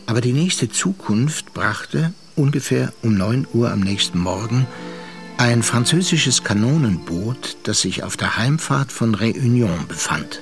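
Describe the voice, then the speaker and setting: An actor playing a reflective sea captain, narrating a literary radio drama in a measured way. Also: male voice, medium pitch, expressive, faint music behind. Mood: grave, reflective. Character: reflective seafaring captain recounting a past affair.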